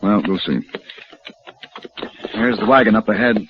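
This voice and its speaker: Actor as a calm, wry western gunman, muffled vintage radio. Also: on the low side, unhurried, background noise, close to an everyday voice. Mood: wry, easygoing. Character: calm, resolute, wryly gallant western gunman, a grown man. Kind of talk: 1950s western radio drama acting and narration.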